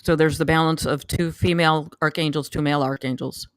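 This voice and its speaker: A person giving casual spoken feedback on a script, haltingly, often repeating words and phrases. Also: medium pitch, fairly even intonation, smooth, no echo. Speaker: table read participant giving script feedback.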